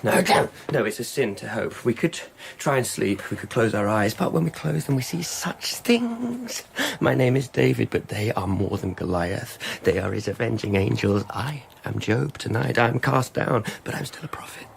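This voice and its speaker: Fretful, swooping doomsday preacher. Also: male voice, medium pitch, animated, normal speed, creaky. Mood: rambling, forlorn. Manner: fretful, rambling, biblical phrasing, repeats words to himself. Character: frightened, zealous street preacher clinging to faith amid apocalypse. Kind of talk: radio drama acting. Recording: loud ambient noise behind the voice.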